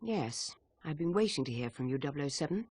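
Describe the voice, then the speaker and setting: A woman's voice: low voice, lively intonation, fairly quick, a little mellow, breathy edge, dry recording, studio quality. A woman with a British accent playing a stern older spy chief in a game cutscene, curt and authoritative.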